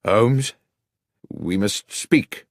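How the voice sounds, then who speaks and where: A man with a British accent voicing a loyal, worried Victorian gentleman doctor in an audiobook, polite and earnest. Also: lowish voice, very slow, no echo, studio quality. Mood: urgent.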